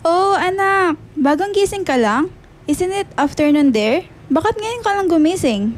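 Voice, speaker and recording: An actress playing a gentle, sad mother in a radio drama, tender and reticent, with a Filipino accent. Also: very high-pitched, animated, unhurried, a little hiss or hum. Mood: caring, surprised. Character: gentle, sad mother living abroad, separated from husband. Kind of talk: radio drama dialogue, Taglish.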